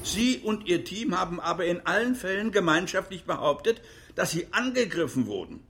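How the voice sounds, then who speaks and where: An actor playing an insistent, sceptical prosecutor, questioning in a formal, pressing way in a radio drama hearing. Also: male voice, high voice, big pitch swings, moderate pace, a bit bright, slight background noise, exaggerated voice. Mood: sceptical, accusing.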